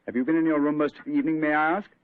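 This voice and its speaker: An actor playing a brisk, commanding male detective who speaks crisply, in an old radio play with a tinny sound. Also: on the high side, steady tone, close to an everyday voice. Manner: crisp, authoritative. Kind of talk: 1940s radio acting.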